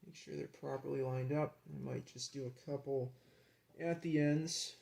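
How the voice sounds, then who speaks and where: A man with a creaky voice talking casually and haltingly, half to himself, as he narrates a hands-on hobby task. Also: medium pitch, fairly even intonation, darkish tone. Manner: halting, muttering to himself while handling parts. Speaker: hobbyist presenter. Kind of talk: casual hands-on vlog narration while working.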